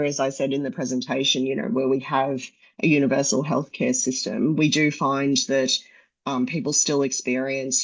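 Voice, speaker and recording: A man with an Australian accent answering audience questions at a medical conference, measured, with lots of fillers. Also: medium pitch, highs cut off. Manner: measured, hedging, many fillers.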